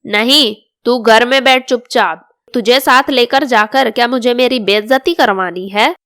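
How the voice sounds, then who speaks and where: A woman's voice: fairly high pitch, a little slow, fairly bright tone, no echo, clean studio sound. A woman voicing an older, bossy and easily offended mother-in-law in a storybook drama. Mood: scolding. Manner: scolding, complaining.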